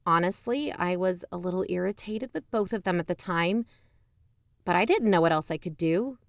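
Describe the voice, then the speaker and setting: An actress playing a suspicious, self-justifying investigator who hosts a podcast, narrating in an emphatic, confiding, conversational way. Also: female voice, lowish voice, normal speed, gravelly, no room sound, studio quality. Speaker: podcast host.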